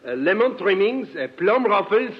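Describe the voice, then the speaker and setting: A man's voice: sits high, very animated, moderate pace. A man playing a genteel, fussy fashion designer with hesitant, mannered courtesy in 1940s radio comedy. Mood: genteel, eager. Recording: dull, faintly noisy old radio recording.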